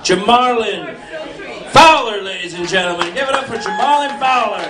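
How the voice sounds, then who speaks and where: A man's voice: high voice, lively intonation, moderate pace. A man emceeing at a comedy club, introducing the next act. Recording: echoey live club audio from a distance with crowd.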